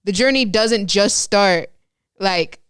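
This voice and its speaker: A woman's voice: medium pitch, takes it slowly, smooth, dry recording, studio quality. A young woman co-hosting a faith podcast, chatting casually in a rambling, self-interrupting way. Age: young adult. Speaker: podcast co-host. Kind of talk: casual chat.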